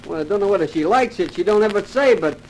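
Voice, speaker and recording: An actor playing a blunt, unlettered working-class cab driver in 1950s radio drama, with a folksy, artless manner. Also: male voice, high-pitched, expressive, quick pace, a little mellow. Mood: deadpan needling. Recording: noisy old radio drama recording.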